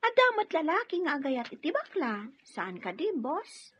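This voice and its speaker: A woman's voice: high-pitched, sing-song, moderate pace, fairly bright tone, dry recording, lo-fi sound. A woman with an Ilocano Filipino accent acting an emotional, curious young student in an audio drama.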